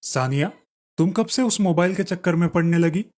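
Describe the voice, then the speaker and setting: A man voicing a mild-mannered but exasperated young Indian husband in an animated moral story. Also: sits high, normal speed, natural voice. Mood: troubled.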